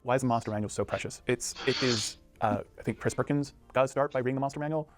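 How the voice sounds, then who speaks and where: A man's voice: medium pitch, fast talker, music in the background. A man in an enthusiastic, casual discussion, speaking as an interviewer who shares his own reactions.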